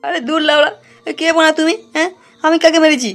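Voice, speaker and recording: Man acting a quick, high-pitched, cowardly young villager. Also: little pitch movement, fairly bright tone, soft background music. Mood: defiant. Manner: slangy, blustering, stammering repetitions. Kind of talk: amateur comic cartoon acting.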